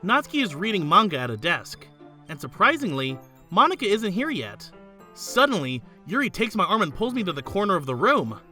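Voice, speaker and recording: A woman voicing an earnest, kindly high school student who narrates in the first person in a visual novel fan dub. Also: very animated, fairly quick, sharp tone, faint music behind. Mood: narrating, observant.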